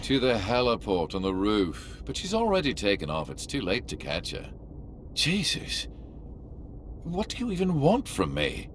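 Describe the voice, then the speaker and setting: Sly, deep-voiced arms dealer. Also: male voice, wildly swooping pitch, moderate pace, a little hiss or hum. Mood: nervous, exasperated. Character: sly, cornered male arms dealer, smug and menacing. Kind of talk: video game cutscene acting. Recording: music behind the voice.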